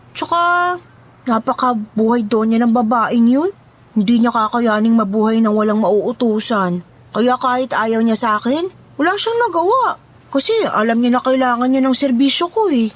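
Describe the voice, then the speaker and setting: A woman's voice: a little high, lively intonation, moderate pace. A woman playing a plucky, loyal Filipina housemaid in an audio story, chatty and confiding, with a Filipino accent. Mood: indignant gossip. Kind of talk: audio story drama dialogue.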